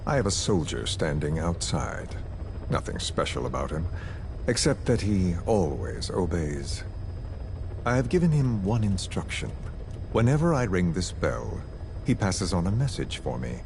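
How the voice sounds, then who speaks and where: An actor playing a calm, scheming villain who speaks in a slow, measured and quietly threatening way. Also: male voice, sits low, vocal fry, exaggerated voice. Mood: menacing. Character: cold, menacing male villain, calm and scheming. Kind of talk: game cutscene dialogue. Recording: noisy game audio recording.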